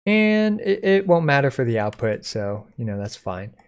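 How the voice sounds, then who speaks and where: A man presenting a code-along software tutorial in an informal, step-by-step explaining way. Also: medium pitch, sing-song, moderate pace, clean tone. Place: indoors at a computer, screen recording.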